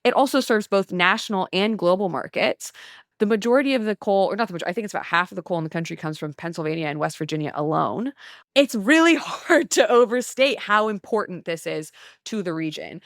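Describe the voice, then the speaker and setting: A woman's voice: mid-range voice, lively intonation, fast talker, no room sound, studio quality. A woman hosting a history podcast, explaining earnestly in casual chat with frequent "like"s. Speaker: podcast host.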